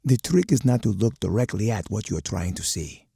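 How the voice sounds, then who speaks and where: Hawkish game colonel: low, gravel-throated and husky, stern, measured, uncompromising strategist. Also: male voice, expressive, dark tone, dry recording, studio quality, heavily acted. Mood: instructive. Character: uncompromising hawkish male army colonel, grim military strategist. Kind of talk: scripted game voice lines.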